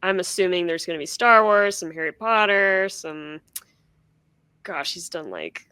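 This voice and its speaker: A woman's voice: on the low side, animated, unhurried, pushed and bright, smooth, no room sound. A woman co-hosting a podcast, chatting playfully and acting out little quotes. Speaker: podcast co-host. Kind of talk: casual chat.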